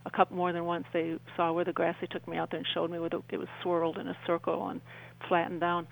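An older woman, around her sixties, talking as an interview guest in a halting, rambling way and often rephrasing mid-sentence. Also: fairly low pitch, completely flat delivery, gravelly, rough recording. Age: older, about 60s. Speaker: interview guest, UFO experiencer. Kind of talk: conversational radio interview storytelling.

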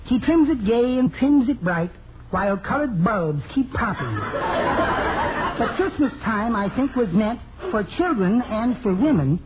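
An actor playing a meek, henpecked little husband with a mild, hesitant, prissy delivery in an old radio comedy. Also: male voice, very high voice, big pitch swings, unhurried, highs cut off, exaggerated voice. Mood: mild, pleased. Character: meek, henpecked little married man who writes poems. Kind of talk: 1940s radio comedy acting. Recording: noisy old radio recording, loud studio audience.

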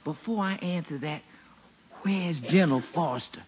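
An actress playing an exasperated, good-humored, sassy housekeeper in 1940s radio comedy, grumbling emphatically and repeating herself. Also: female voice, low voice, moderate pace, natural voice. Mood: wry grumbling. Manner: emphatic, repetitive grumbling with chuckles. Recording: noisy, muffled 1940s radio recording.

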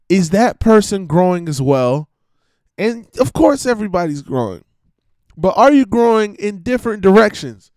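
A slightly hoarse man giving an emphatic, preachy monologue with swearing and lots of repetition. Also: high voice, a little mellow, no echo. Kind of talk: casual monologue rant.

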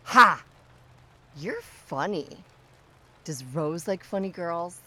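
An actress plays a tipsy, pushy young woman in a comic audio play. Her voice sits slightly low but is very animated. She draws her words out slowly as she needles and teases, insistent and nosy. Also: a little hiss or hum, full character voice. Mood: teasing, probing. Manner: chatty, teasing, insistent. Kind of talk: audio drama comedy acting.

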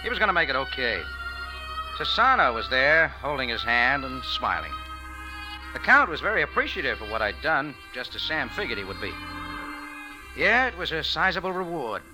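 A man's voice: medium pitch, very animated, normal speed, lightly acted, rough recording. An actor playing a wry, laconic, hard-boiled cafe owner and sleuth in a 1940s radio drama, telling his story in colloquial style. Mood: wry narrating. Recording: old radio recording with music.

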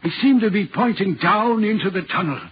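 A man's voice: sits high, animated, fairly quick, breathy edge. An actor playing a haunted, anguished railway signalman in a brooding, dramatic 1940s radio horror play style. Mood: haunted, subdued. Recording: muffled 1940s radio recording.

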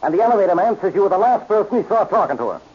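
On a muffled old radio recording, an irritable police inspector with a rough, husky, fairly high voice. He barks bluntly and with lively swings, exasperated in classic 1940s detective-show fashion. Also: rapid-fire, heavily acted. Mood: stern.

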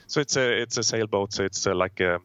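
A low-pitched Swedish man speaks English in a measured, hesitant way, often stopping on an uh and repeating words. His voice comes through dull and lo-fi, as from a remote connection. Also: fairly bright tone, no echo. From Sweden. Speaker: guest, telecom executive. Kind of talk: interview answers.

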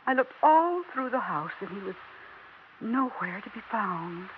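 A woman's voice: medium pitch, big pitch swings, measured pace. An American actress playing a fragile, deluded middle-aged widowed mother in a theatrical, emotionally overwrought 1960s radio drama style. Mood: anxious, haunted. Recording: muffled, noisy 1960s radio recording.

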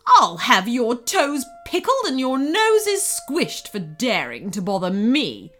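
A woman's voice: a little high, wildly swooping pitch, slow, a bit bright, soft background music, pitched above the performer's own voice, full character voice. Shrieking, evil storybook goblin queen. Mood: outraged shrieking. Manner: shrieking, cackling, gleeful menace. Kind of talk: children's storybook character voice.

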